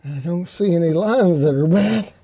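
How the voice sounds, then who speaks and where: A man's voice: on the high side, normal speed, dark tone, husky, no echo. A man casually narrating outdoors as he works on his property, hosting his own vlog. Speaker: vlog host doing outdoor property work. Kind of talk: casual narration while working.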